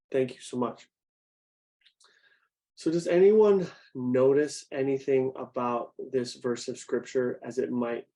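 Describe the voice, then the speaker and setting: A young man teaching a group, speaking in an even, middle-pitched voice. His talk is conversational and easy, and he keeps stopping to put questions to his listeners. He sounds like someone guiding a youth class on a video call. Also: vocal fry. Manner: conversational, asks the audience questions. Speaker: youth program director.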